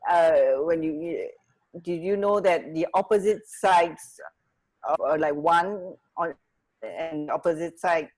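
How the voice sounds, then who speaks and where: A woman co-hosting a radio show in casual chat, halting and correcting herself as she goes. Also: fairly low pitch, steady tone, a little mellow. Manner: hesitant, halting, self-correcting. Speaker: radio co-host.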